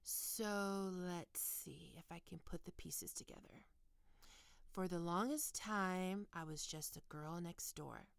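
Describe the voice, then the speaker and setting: A woman playing a stern, teasing goth college professor in an ASMR roleplay, speaking deliberately and condescendingly into a close microphone. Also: on the low side, gravelly, no echo. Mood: smug, teasing. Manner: condescending, taunting, deliberate with pauses. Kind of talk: ASMR roleplay, scripted monologue to listener.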